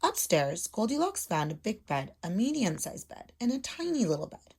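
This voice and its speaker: A woman's voice: mid-range voice, sing-song, moderate pace, darkish tone, breathy edge. A woman in her thirties speaking as a teacher, explaining step by step and reading a storybook aloud to children at home. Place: small room at home.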